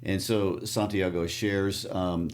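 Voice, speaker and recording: A man with a Midwestern accent, co-hosting a casual book discussion podcast and explaining the story in a relaxed way. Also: low-pitched, slow pace. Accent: Midwestern American. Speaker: podcast co-host.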